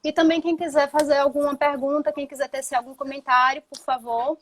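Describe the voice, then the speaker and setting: A woman's voice: sits high, little pitch movement, fairly quick. A woman lawyer hosting a live video conversation, introducing her guest and explaining a legal aid project, often adding tag questions.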